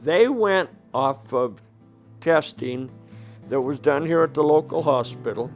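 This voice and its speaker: An older man with a raspy voice, a former coal miner speaking plainly and indignantly in a documentary interview. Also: on the high side, expressive, a little slow, soft background music. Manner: plain-spoken, indignant, rhetorical questions.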